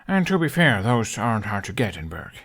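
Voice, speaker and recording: An earnest, cautious young man in a storybook tale, played by a male narrator. His voice is fairly low, with a dry and reassuring way of talking. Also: very animated, a little fast, fairly bright tone, exaggerated voice. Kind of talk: audiobook character voice.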